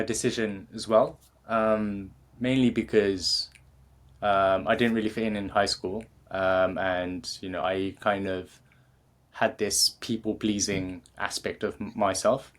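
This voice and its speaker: A man in his thirties with a British accent, a guest in a casual interview who talks with many fillers and restarts. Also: fairly low pitch. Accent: British English. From England. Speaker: guest, creativity coach.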